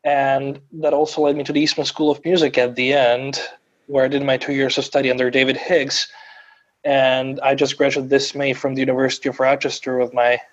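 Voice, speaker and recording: A man's voice: mid-range voice, level tone, a little fast. Man, 20s, native Croatian speaker, podcast guest, earnest storytelling, muffled lo-fi recording. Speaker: guest organist. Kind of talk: podcast interview.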